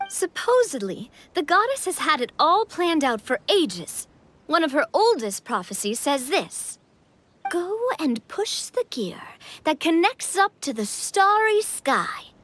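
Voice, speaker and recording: A woman voicing a confident, gracious young female leader in a video game, poised, earnest and expressive. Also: high-pitched, unhurried, full character voice. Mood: solemn recital. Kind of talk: video game cutscene acting.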